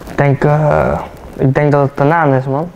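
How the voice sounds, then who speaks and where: An eighteen-year-old man, a football player, chatting relaxed and hesitant with many fillers while getting a haircut in a barbershop. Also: a little high, moderate pace, dull audio, a little hiss or hum. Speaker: football player, interview guest. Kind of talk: casual interview chat. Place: barbershop, indoors, seated in barber chair.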